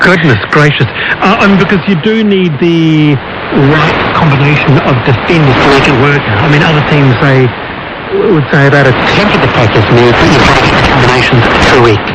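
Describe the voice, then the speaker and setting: A man's voice: on the high side, a little fast. A male radio host, interviewing conversationally, heard over shortwave radio. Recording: noisy shortwave radio reception.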